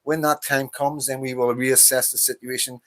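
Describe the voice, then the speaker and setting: A man's voice: medium pitch, flat delivery, normal speed, fairly bright tone. A man in his fifties with a Northern Irish accent, hosting a livestream and ranting about politics in a heated, profane, repetitive way. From Northern Ireland. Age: 50s. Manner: impassioned, ranting, profane, repeats himself. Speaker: livestream host. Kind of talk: casual political monologue.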